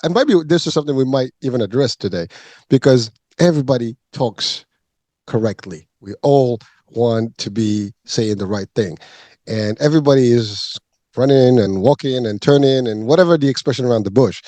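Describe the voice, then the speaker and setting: A man's voice: mid-range voice, moderate pace, dark tone, dry recording. A man hosting a wellness interview show, speaking in rambling, hesitant runs with many fillers. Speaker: podcast host.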